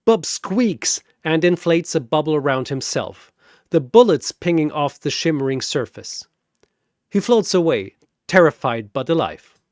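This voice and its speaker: Guy narrating a dramatic fantasy fight story, takes his time, a bit high pitched, very dry sound. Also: animated, unhurried, dry recording.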